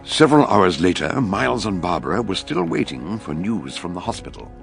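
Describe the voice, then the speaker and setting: An actor playing the narrator of a detective radio drama, deliberate and suspenseful. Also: male voice, sits low, very animated, creaky, over music, full character voice. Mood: grave.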